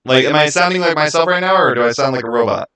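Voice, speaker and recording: Brief: male streamer, slightly high voice, casual live talk on game lore, emphatic and repetitive. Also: fast talker, pushed and bright, smooth.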